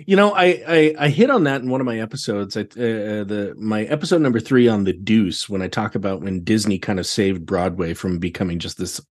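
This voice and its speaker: A man with an American accent chatting casually as a podcast guest, animated, colloquial and emphatic. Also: fairly low pitch, a little fast, few pauses. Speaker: podcast guest, theatre director. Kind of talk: casual chat.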